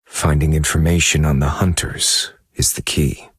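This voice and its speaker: Super deep raspy brooding amnesiac writer in a game, grave slow monologue, dry close sound. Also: male voice, fairly even intonation, no echo. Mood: measured, intent. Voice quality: gravelly, husky. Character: brooding amnesiac male novelist turned investigator, troubled. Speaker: game character narrator. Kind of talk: dramatic scripted monologue.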